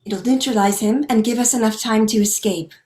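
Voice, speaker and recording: A woman's voice: fairly high pitch, fairly even intonation, fairly quick, a little mellow, reverberant, natural voice. A woman playing a defiant captive, confrontational and emphatic, in a screenplay table read. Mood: urgent, persuading. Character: defiant, outspoken captive woman standing up to gunmen.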